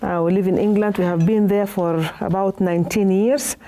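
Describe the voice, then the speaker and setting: Woman, Sudanese accent, interview guest, dark soft-edged tone, hesitant phrasing. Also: medium pitch, fairly even intonation, moderate pace. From Nuba Mountains, Sudan. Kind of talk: self-introduction in an interview.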